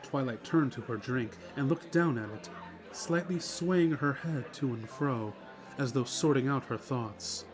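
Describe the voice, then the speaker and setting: A man's voice: mid-range voice, measured pace, poor audio. A man reading scripted story narration as the narrator of a dramatic reading. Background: music.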